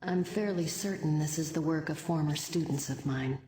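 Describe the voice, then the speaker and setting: A cool, elegant and haughty teacher heroine played by a woman in a game cutscene. Her voice is dark and soft-edged, condescending and composed, ringing with long reverb in an echoey space. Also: sits low, moderate pace, a little hiss or hum, lightly acted. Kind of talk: dramatic video game cutscene acting.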